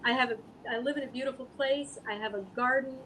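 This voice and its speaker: A woman speaking as an interview guest, answering casually in an earnest, halting, thoughtful way. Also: sits high, fairly even intonation, a bit bright, slight background noise, rough recording. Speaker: guest artist and animal rescue volunteer.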